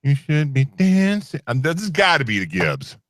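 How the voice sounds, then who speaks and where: A man's voice: a little high, wildly swooping pitch, normal speed, fairly bright tone, no room sound. A man co-hosting a casual live chat show, playful and self-deprecating as he guesses along in a music quiz.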